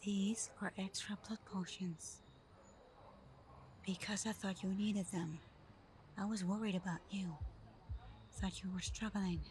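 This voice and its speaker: Casting: actress as tender, wounded female vampire lover for ASMR roleplay; soft half-whisper, unhurried hesitant delivery, faint noise behind. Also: medium pitch, fairly even intonation, lightly acted. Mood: worried, hurt. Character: caring, hurt vampire girlfriend. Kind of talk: ASMR roleplay, intimate scripted acting.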